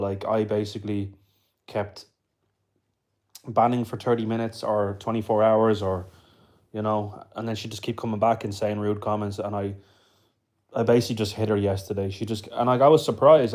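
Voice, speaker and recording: Young male streamer, twenties, UK-raised; low, flat, rambling chat to viewers. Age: 20s. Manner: chatty, rambling, answers viewers' comments. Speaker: livestreamer. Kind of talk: casual live-stream chat. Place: home indoors. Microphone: phone.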